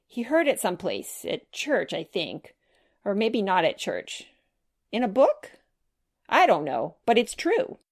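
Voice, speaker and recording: A woman voicing an eager, animated young boy in a children's storybook, insistent and full of exclamations. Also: mid-range voice, unhurried, exaggerated voice. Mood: earnest, excited. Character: excitable young boy eager to share a miracle.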